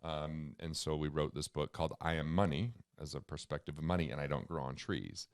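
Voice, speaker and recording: Deep, booming-voiced man. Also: a little fast. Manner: emphatic, earnest and upbeat. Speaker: guest, financial author and comedian. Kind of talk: conversational interview.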